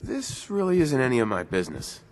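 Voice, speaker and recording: An actor playing a calm, reserved, plain-spoken wanderer, the hero of a video game. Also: male voice, mid-range voice, brisk, a little hiss or hum.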